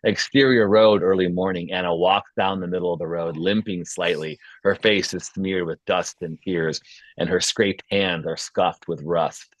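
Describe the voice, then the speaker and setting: A man's voice: low voice, steady tone, moderate pace, dry recording, poor audio. A man reading scene headings and action as scripted narration at a screenplay table read. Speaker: stage directions reader.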